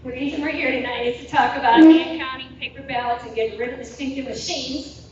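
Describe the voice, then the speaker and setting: A woman hosting a local meeting, brisk and bantering. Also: sits high, steady tone, muffled recording, over a noisy crowd. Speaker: meeting host. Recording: distant, very echoey, noisy hall recording.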